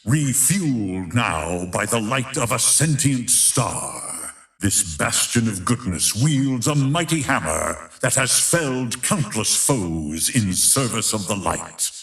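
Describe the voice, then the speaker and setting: A man playing a grandiose, menacing tournament announcer in a video game, narrating in a theatrical, emphatic, drawn-out style. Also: fairly low pitch, a bit bright, reverberant, full character voice. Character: grandiose, menacing male fighting-tournament announcer of epic legend. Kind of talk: dramatic scripted fighting-game narration.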